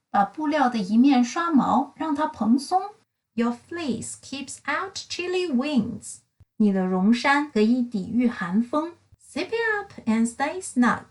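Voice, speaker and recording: Female read-aloud narrator for children's science books: higher-pitched, lively intonation, careful enunciation. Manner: slow, careful enunciation, bilingual English and Mandarin. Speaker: picture book reader and narrator.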